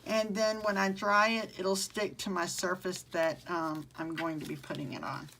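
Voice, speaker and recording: A woman's voice: lowish voice, steady tone, normal speed, vocal fry, fairly bright tone, a little hiss or hum, low-quality recording. A woman with a Southern American accent, chatting with viewers during a live craft tutorial and answering their comments as she works. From southern United States. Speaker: craft demonstrator.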